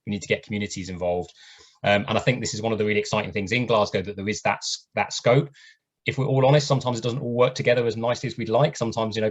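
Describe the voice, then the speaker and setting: Male host, quick and earnest, deep-ish voice, dull call audio. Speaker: event host. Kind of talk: online event chat. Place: home video call. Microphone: video call. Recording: muffled lo-fi video call audio.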